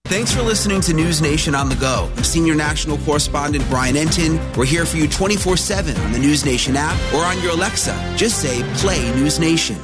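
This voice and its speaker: A man's voice: fairly high pitch, animated, fairly quick, few pauses, loud music behind the voice. A man, a news correspondent, reading a friendly promo. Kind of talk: promo read.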